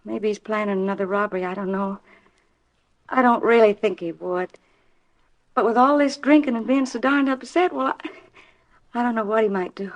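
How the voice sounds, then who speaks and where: Actress as an anxious, high-voiced wife, old radio. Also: female voice, close to an everyday voice. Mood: worried. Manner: hesitant, halting, earnest. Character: anxious, pleading wife of a fugitive ex-convict. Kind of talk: 1950s radio drama acting. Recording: dull vintage 1950s radio recording.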